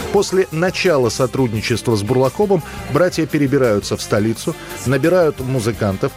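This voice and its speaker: A man's voice: medium pitch, darkish tone. A man presenting a radio programme, reading scripted narration about past events in a studio. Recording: music bed under the voice. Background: background music.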